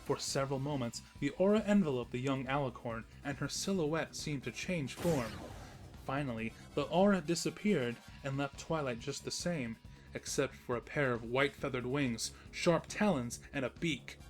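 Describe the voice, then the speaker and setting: A man's voice: mid-range voice, expressive, normal speed, faint music behind. A man narrating a scripted comic story in a storytelling manner with wry asides.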